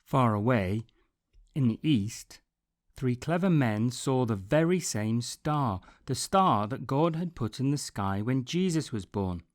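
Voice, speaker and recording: Man reading a children's storybook, slow and expressive. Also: medium pitch. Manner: deliberate, dramatic phrasing with pauses, repetition and emphasis. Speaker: storybook reader.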